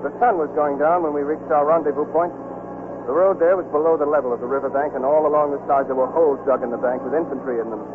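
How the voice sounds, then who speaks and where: An actor playing an earnest young lieutenant, speaking as lead and narrator in 1940s radio style. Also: male voice, fairly high pitch, fairly even intonation, fast talker, noisy background, close to an everyday voice. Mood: sober recounting. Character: earnest young lieutenant in love, recounting war. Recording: muffled 1940s radio broadcast with music.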